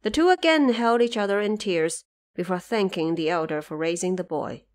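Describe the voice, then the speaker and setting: A woman's voice: fairly low pitch, normal speed. Woman narrating a story. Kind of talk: scripted audio drama storytelling narration.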